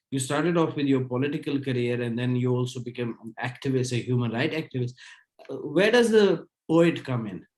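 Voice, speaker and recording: A young man interviewing a guest over a video call, asking polite, halting questions with fillers. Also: medium pitch, a little mellow. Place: indoor office. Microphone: video call webcam.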